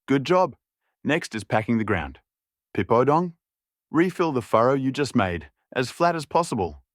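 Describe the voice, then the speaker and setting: A man's voice: medium pitch, big pitch swings, normal speed, dry recording, clean studio sound, voice pushed up, close to an everyday voice. A man playing a young male summoner who coaxes his pet with curiosity in an audiobook. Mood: pleased, instructing. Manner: coaxing, talking to a pet.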